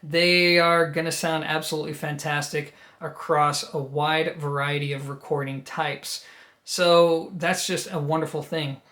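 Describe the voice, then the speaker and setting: A man's voice: fairly high pitch, little pitch movement, measured pace, pushed and bright. A man with a New Jersey accent giving a conversational, enthusiastic and emphatic product review straight to his viewers. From New Jersey, United States. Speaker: audio equipment reviewer and host.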